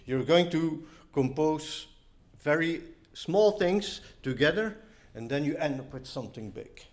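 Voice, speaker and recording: A man's voice: fairly high pitch, animated, unhurried. A man co-presenting a talk, explaining mathematical ideas in an informal lecture style.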